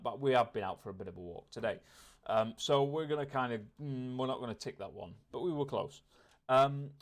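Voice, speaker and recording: A man talking casually and reflectively in a personal vlog, rambling a little and repeating words as he thinks aloud. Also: medium pitch. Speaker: vlogger. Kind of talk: casual vlog talk to camera, self-reflective.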